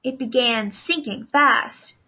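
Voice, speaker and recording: A woman with a high voice narrates a children's read-along story. She reads at a very unhurried pace. The recording sounds slightly dull. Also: breathy edge.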